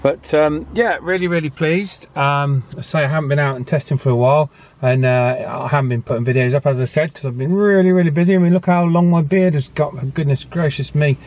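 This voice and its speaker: A man's voice: on the high side, a little fast, a little mellow. A man presenting a casual radio hobby vlog in a chatty, understated, slightly hesitant way. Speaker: host of a radio repair and testing channel.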